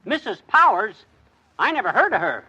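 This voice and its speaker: A bragging, easily flustered husband from a 1950s radio sitcom. His voice sits high, sounds bright and bounces all over the place. He blusters and complains at everyone. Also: big pitch swings, slightly rough, highs cut off, full character voice. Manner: blustering, quick to bluster at others. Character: blustery, boastful middle-aged husband.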